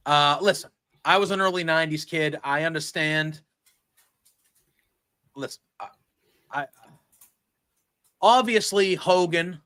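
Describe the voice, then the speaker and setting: A man's voice: on the high side, measured pace, long pauses, bright, forceful tone, clear, resonant tone. A man in his forties in casual chat, rambling and laughing, swearing freely. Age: 40s.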